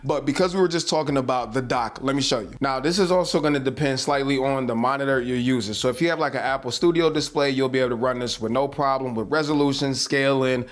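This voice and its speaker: A man hosting an unscripted tech vlog, talking casually and energetically with slangy asides, his voice slightly hoarse from yelling. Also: mid-range voice, fast talker, hardly any pauses. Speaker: tech video host. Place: home desk setup indoors.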